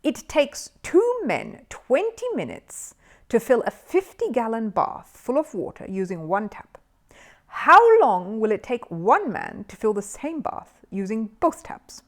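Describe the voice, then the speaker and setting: A woman's voice: fairly high pitch, wildly swooping pitch, a little slow, voice pushed up, heavily acted. A woman voicing a pompous, theatrical, easily flustered male quiz-show host in a storybook reading. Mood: showy, solemn.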